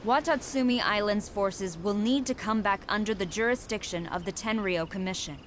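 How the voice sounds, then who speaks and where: A woman's voice: medium pitch, moderate pace, slight background noise, natural voice. Woman playing a stern military commander. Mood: firm. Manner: firm, formal, businesslike. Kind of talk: video game voice acting.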